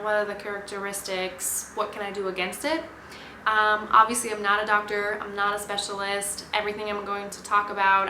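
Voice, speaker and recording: Twentysomething woman vlogging, earnest explainer, sharp bright voice, kinda flat delivery. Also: medium pitch, echoey room, slight background noise, low-quality recording. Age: 20s. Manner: earnest, explanatory, talks straight to viewers. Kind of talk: personal explainer video.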